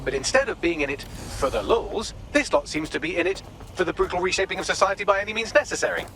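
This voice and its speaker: Sardonic male game AI, British, very lively intonation, crisp forceful tone, theatrical, flippant. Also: high-pitched, fast talker, a little hiss or hum. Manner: wry, flippant, theatrical, wordy. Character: cheeky sardonic male AI assistant, quippy and smug. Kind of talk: video game voice acting.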